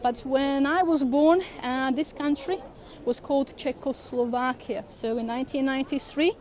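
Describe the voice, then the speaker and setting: A woman from Slovakia in her thirties, speaking English, leads viewers on a live outdoor walking tour. She talks in a friendly, unhurried way and keeps pausing on fillers and restarts. Her voice sits a little high, with faint street noise from the city around her. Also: a little mellow. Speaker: tour guide.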